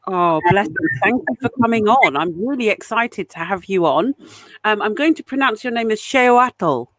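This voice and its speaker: A woman's voice: medium pitch, lively intonation, moderate pace, a bit bright, dry recording, low-quality recording. A woman hosting a podcast interview, reacting effusively and gushing over her guest. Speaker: podcast host. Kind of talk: warm interview chat.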